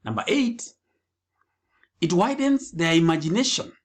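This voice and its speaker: A man giving a scripted educational presentation, speaking deliberately and phrase by phrase. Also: sits high, lively intonation.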